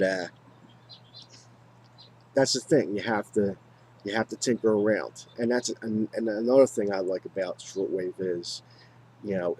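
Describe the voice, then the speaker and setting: A man with an American accent and a fairly low voice speaks off the cuff, like a hobbyist chatting to viewers. His delivery halts and rambles, circling back over his own words. Clear background noise sits behind him. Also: a little mellow. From United States. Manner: halting, rambling, repeats himself. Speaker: hobbyist video maker. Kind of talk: casual unscripted talk to viewers.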